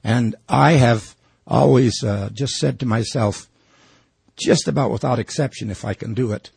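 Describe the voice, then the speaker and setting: A man from the audience asking a halting, rambling question at a public forum. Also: mid-range voice, vocal fry, a little mellow, breathy edge, no room sound. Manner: halting, rambling, with many fillers.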